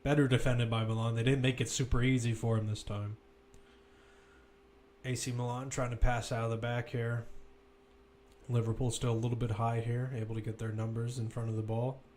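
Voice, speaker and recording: A man's voice: mid-range voice, level tone, moderate pace, a little mellow, a little hiss or hum. A man giving live football play-by-play commentary, describing the action as it runs, with asides and self-corrections.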